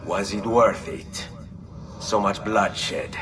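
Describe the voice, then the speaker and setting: An actor playing a grim, resolute young nobleman assassin in a video game, speaking in a grave, measured way. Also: lowish voice, lively intonation, noisy background. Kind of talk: video game cutscene acting.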